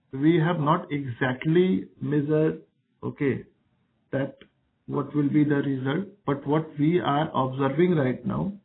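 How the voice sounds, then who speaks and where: A man, a company executive on a conference call, answers questions off the cuff in halting short phrases. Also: on the high side, gravelly. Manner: halting, explanatory, breaks sentences into short phrases. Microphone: telephone. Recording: narrow phone line.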